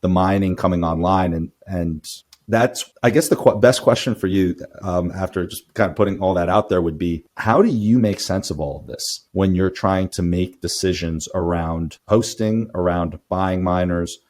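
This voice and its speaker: A male interview host with a notably low voice. He asks long, loose questions in a relaxed, talkative manner. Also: moderate pace. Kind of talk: conversational interviewing.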